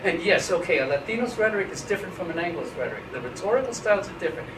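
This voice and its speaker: A wry, frank man in his late fifties, speaking a little higher than most men as he answers questions in a relaxed interview. He talks loosely, swears mildly, and restarts his sentences often. The recording is roomy and distant, with clear noise underneath. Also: rapid-fire. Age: 50s. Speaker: interviewee, writing scholar. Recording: echoey room, mic at a distance, noisy.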